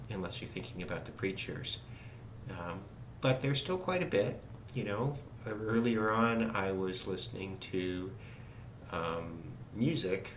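A man giving a casual, halting, unscripted radio demonstration, musing as he goes. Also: low-pitched, a bit bright. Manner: halting, musing delivery with trailing thoughts. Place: indoors, small room at a desk. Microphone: camera mic some distance away. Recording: roomy, distant mic with radio static noise.

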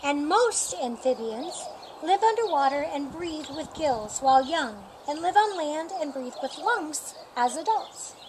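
A middle-aged woman reading a children's picture book aloud in a deliberate, expressive storytime manner. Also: high voice. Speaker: storytime reader. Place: outdoors in a sunny yard by a painted wall. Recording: outdoor noise with birdsong. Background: birdsong and outdoor ambience.

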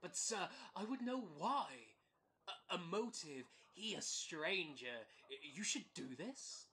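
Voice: mid-range voice, big pitch swings, unhurried, pushed and bright, heavily acted. An actor playing a scheming, mock-courtly, goading swindler in an early modern comedy audio drama. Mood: smoothly flattering. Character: scheming, fast-talking con man flattering gentlemen, bullying merchant.

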